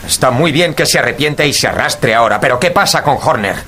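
Bright-voiced, reproving gentleman. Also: mid-range voice, animated, brisk, a little hiss or hum. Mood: reproachful. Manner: firm, reproachful. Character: reproving gentleman, the detective's firm companion. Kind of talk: radio drama acting.

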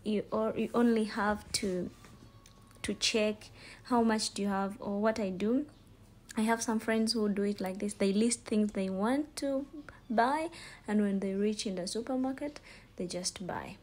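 A young woman with a Ugandan accent narrating a casual vlog in an informal, halting, repetitive way. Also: mid-range voice, darkish tone. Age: young adult. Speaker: vlogger, student. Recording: store ambience noise, handheld phone. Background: faint ambient noise.

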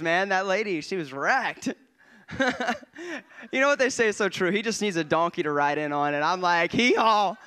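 A young man, a guest preacher, giving an excitable testimony in church on a handheld mic, often laughing and swinging between quiet asides and loud bursts. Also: extremely high pitch, clear, resonant tone. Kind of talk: testimony sermon. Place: church stage before a congregation. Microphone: handheld microphone.

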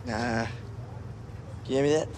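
A man playing a calm, weary former street racer in a game, low-key, measured and cautionary. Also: slight background noise, lightly acted. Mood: calm, serious. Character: calm, weary male ex-racer working as mechanic.

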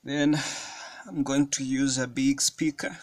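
A man giving a hands-on electronics tutorial at a table, explaining each step slowly with frequent fillers. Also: mid-range voice, little pitch movement, dry recording. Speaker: electronics hobbyist demonstrating a circuit. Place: indoors at a wooden table.

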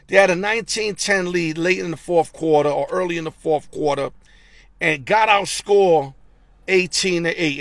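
A middle-aged man hosting a casual NFL recap from his parked car, speaking into a phone at arm's length. He reads stats bluntly and fast, with slang and stammered repeats. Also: high voice, fairly bright tone, no echo, clean studio sound. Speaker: sports betting show host. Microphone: phone held at arm's length.

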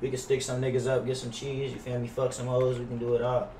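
A man's voice: medium pitch, completely flat delivery, fast, darkish tone, distant mic. A young man with an American accent talks brashly on a gaming livestream, full of slang and swearing. His voice sounds roomy and a little off the mic, with faint noise behind it. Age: young adult. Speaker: game streamer. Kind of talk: casual gaming livestream chat with role-play banter. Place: indoor room at streaming setup. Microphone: gaming headset.

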